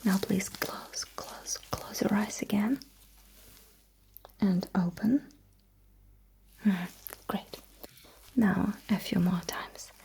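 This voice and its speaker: A woman playing a calm, courteous alien battlesuit technician in a sci-fi ASMR roleplay, giving soft, encouraging instructions in a breathy whisper. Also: medium pitch, rough recording.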